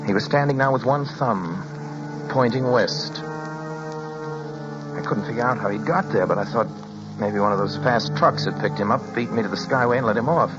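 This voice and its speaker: An actor playing a driver who recounts a troubling journey in measured narration that slips into nervous stammers, in a radio play. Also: male voice, mid-range voice, lightly acted. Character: uneasy male driver recounting a trip. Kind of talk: 1940s radio drama narration. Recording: dull lo-fi with music behind.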